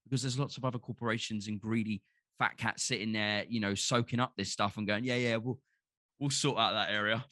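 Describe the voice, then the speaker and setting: A man's voice: lowish voice, fairly even intonation, fairly quick, sharp tone, dry recording, studio quality. A middle-aged man from London with a Cockney accent, speaking passionately as a podcast guest with restarts and the odd swear word. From London, England. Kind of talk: casual podcast conversation.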